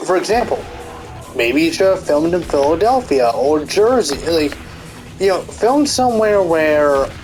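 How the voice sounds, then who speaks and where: A man's voice: on the high side, a little mellow, poor audio. A man in a casual, rambling commentary rant, full of fillers and restarts, swearing often, with a stuffy nose. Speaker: commentary YouTuber.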